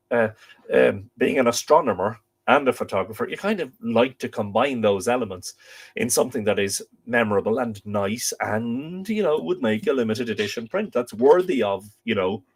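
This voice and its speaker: Croaky irish guy, middle-aged, low voice, rambly live stream chat full of ums and restarts. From Ireland. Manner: rambling, with many fillers and restarts. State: croaky with a chest infection.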